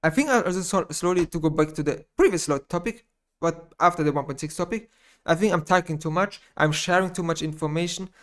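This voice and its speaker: A man speaking English with a German accent, his voice sitting a little high for a man. He talks off the cuff in a personal monologue, rambling, stumbling over words and repeating himself as he goes. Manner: rambling, halting, repeats phrases, frequent restarts. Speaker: gaming YouTuber.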